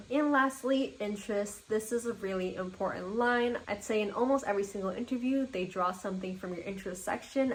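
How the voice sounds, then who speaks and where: A woman's voice: mid-range voice, a little hiss or hum, rough recording. A young woman in her twenties, a native Mandarin speaker, walking viewers through her resume in an upbeat, orderly vlog. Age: 20s. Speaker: vlogger.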